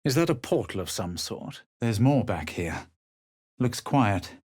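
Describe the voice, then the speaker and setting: An actor playing a haughty, self-assured male adventurer in a fantasy video game, with a gravelly, husky voice and a theatrical manner. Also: fairly low pitch, animated, measured pace, darkish tone. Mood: cool, assured. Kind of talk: video game character voice lines and spell incantations.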